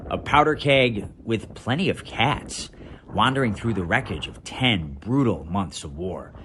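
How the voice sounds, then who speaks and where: American male TV correspondent, low voice, dramatic measured voice-over, faint noise behind. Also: lively intonation, sharp tone. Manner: dramatic, measured delivery with deliberate pauses. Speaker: TV news correspondent. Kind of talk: scripted news voice-over narration.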